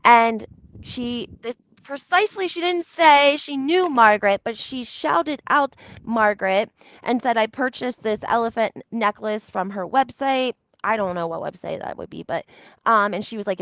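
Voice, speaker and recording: Gravelly woman chatting, slightly dull audio. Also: fairly high pitch, fairly bright tone, no echo. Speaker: YouTube host recapping reality TV. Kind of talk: casual gossip commentary, talking to live audience.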